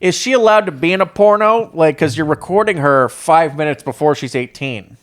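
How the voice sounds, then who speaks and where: A young man co-hosting a podcast, telling crude stories in loose, animated banter. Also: fairly high pitch, moderate pace. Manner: animated, joking storyteller. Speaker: podcast co-host.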